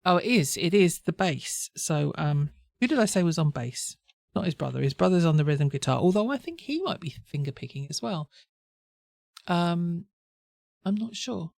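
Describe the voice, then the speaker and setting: A woman's voice: fairly low pitch, expressive, moderate pace, vocal fry, darkish tone, no room sound, studio quality. A woman hosting a reaction video, talking in a rambling, self-correcting chatty way while stuffed up with a cold and a sore throat. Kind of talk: casual chatty commentary.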